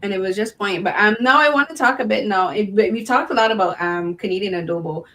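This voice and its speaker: A woman's voice: medium pitch, fast talker, fairly bright tone. A woman hosting a podcast interview, chatty and enthusiastic with lots of fillers. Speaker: podcast host. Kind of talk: casual interview.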